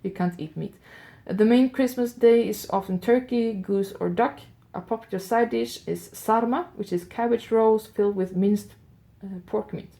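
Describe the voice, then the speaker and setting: A woman with a Swedish accent hosts a solo audio show in an easy, improvised way, thinking out loud and asking her listeners questions. Her voice is dark and mellow, with a gravelly fry running through it. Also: medium pitch, moderate pace, few pauses. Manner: chatty, musing aloud with curious asides to listeners. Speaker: host of an audio advent show. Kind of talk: improvised solo radio-style talk.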